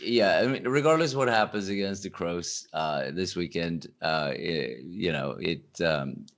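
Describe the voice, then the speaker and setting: Low-voiced man, chatty analyst guest. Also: unhurried, creaky. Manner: animated, rambling, frequent restarts. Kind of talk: casual podcast conversation.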